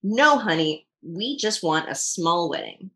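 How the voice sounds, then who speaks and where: A woman voicing a gentle but firm storybook mother in a calm, measured manner. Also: lowish voice, pitched below the performer's own voice. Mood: gently firm.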